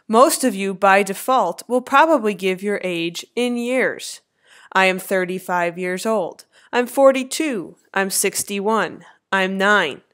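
A woman in her thirties narrating a slideshow lecture as an instructor, with clear, lively teacherly emphasis. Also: medium pitch. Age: 30s.

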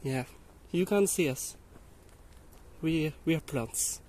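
A man's voice: a little high, slow, a little mellow. A young man, a native French speaker, chatting casually and laughing often while hiking outdoors, filming on a handheld camera. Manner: giggly, coaxing, laughs often. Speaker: vlogger. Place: outdoors on a dirt hillside path. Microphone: handheld camera filming while walking.

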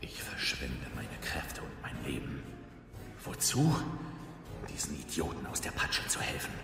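Bitter wizard for radio play: rasping whisper, extremely low, drenched in echo. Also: male voice, huge pitch swings, measured pace, heavily acted. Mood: bitter, resentful. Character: bitter, selfish male wizard, cold and weary. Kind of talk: German fantasy radio drama acting. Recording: cavernous reverb with music.

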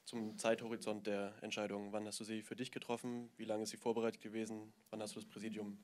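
A man's voice: lowish voice, level tone, fast talker, darkish tone. A man as a journalist, asking probing questions at a press conference.

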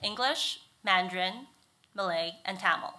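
Woman from Singapore, bright voice, even scripted presenter. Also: medium pitch, a little slow. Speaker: student presenter. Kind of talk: thesis presentation talk. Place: auditorium stage at lectern.

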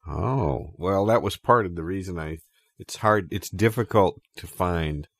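A man improvising a polite, bemused talk show host in a comedy sketch, hesitant and deadpan. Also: low-pitched, a little slow, gravelly, husky, no echo, studio quality, exaggerated voice.

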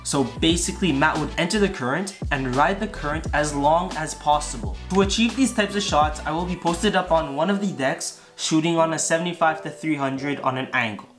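A man's voice: on the high side, a little fast, hardly any pauses. A man in his twenties talking casually to camera as a vlog host. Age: 20s. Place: car interior, a room, outdoors by a river at different times. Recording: music behind the voice. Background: music.

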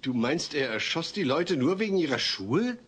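A man's voice: fairly high pitch, sing-song, moderate pace, fairly bright tone, husky, heavily acted. An actor playing a patient, well-meaning family father, mild and a little hesitant, in a German sitcom audio play. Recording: noisy old cassette transfer.

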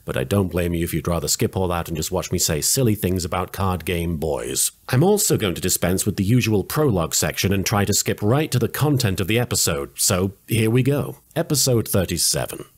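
A man's voice: deep voice, expressive, brisk, few pauses. Sardonic man narrating with swooping intonation. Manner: dry, sardonic, wordy delivery. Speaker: host of anime recap reaction series. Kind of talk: scripted comedic narration.

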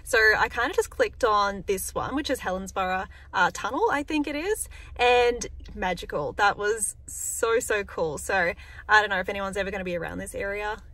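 A woman's voice: medium pitch, moderate pace, pushed and bright, dry recording. A young woman from Australia, vlogging casually to camera in an upbeat, chatty way, speaking into a phone held close in a parked car. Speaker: travel vlogger.

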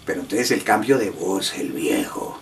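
A man's voice: low-pitched, huge pitch swings, normal speed, vocal fry. An older man casually explaining his acting work in an interview. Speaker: radio actor being interviewed. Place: living room. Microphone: handheld microphone. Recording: slightly distant, faint room noise.

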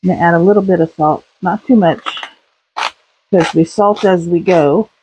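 A woman narrating a home cooking video in her kitchen, talking through each step in a relaxed way with small fillers. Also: fairly low pitch, moderate pace, slight background noise, rough recording. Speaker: home cook, channel host.

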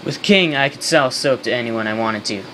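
A failed soap magnate acted by a man, boastful and bitter, speaking deadpan in period slang. It is an amateur radio skit with a mid-pitched voice and clear background noise. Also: normal speed, natural voice.

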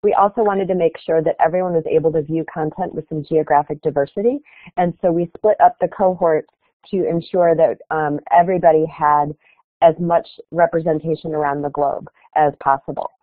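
A woman's voice: low-pitched, steady tone, a little fast, no echo. A woman giving a keynote presentation at an online conference, explaining her project earnestly with some fillers over a video call. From Chicago area, United States. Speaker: keynote speaker. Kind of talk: webinar presentation. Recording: tinny phone-like online call audio.

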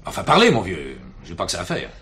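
An actor playing a gruff, impatient, sceptical police director in a French radio play, curt and exasperated. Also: male voice, sits low, wildly swooping pitch, fast talker, bright, forceful tone, exaggerated voice.

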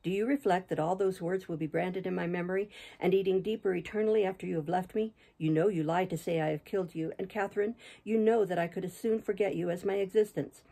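A woman's voice: fairly low pitch, steady tone, fairly quick, vocal fry, few pauses, warm tone, poor audio. A woman reading aloud and voicing an anguished young man who lashes out in fierce, accusing speech. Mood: savage.

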